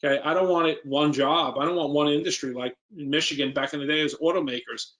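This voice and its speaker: A man's voice: a little high, fairly even intonation, fast, fairly bright tone. A man with a New York accent, an investor speaking informally as a guest and answering questions in an emphatic, plain-spoken way.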